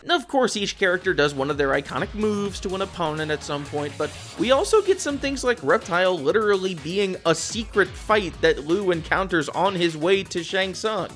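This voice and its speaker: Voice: mid-range voice, expressive, moderate pace, few pauses, a bit bright, faint music behind. A video essayist reading a scripted film review in a casual, opinionated, sweary way.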